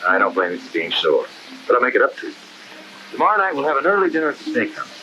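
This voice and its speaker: Fast, indignant American family man. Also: mid-range voice, big pitch swings, bright, forceful tone, highs cut off. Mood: bewildered, apologetic. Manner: plain-spoken, protesting. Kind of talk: 1960s propaganda film acting. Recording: hissy 1960s film soundtrack.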